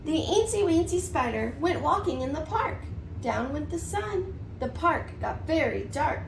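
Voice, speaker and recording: A woman preschool teacher reading a storybook aloud to young children in an expressive, rhythmic sing-song. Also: high-pitched, a little slow, gravelly. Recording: distant mic, roomy echo, faint noise.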